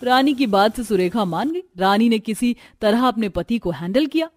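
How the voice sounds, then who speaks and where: A woman's voice: on the high side, expressive, moderate pace, darkish tone. A woman narrating a scripted family cartoon story.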